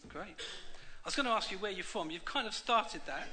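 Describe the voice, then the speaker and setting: A man's voice: sits high, lively intonation, brisk, sharp tone, slight background noise. A man hosting a church event, interviewing a guest on stage in a jokey, encouraging way. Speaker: event host.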